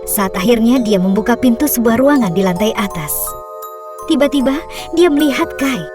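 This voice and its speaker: A woman narrating a scripted children's fairy tale in a measured, expressive storytelling manner. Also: on the high side. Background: music.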